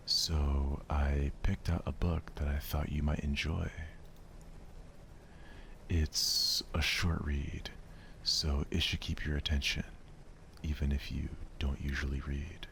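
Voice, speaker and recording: A man voicing a shy, gentle, bookish schoolgirl in a soft, hesitant manner for a visual novel. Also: very deep voice, flat delivery, slow pace, vocal fry, long pauses, a little hiss or hum, heavily acted. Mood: shy, earnest.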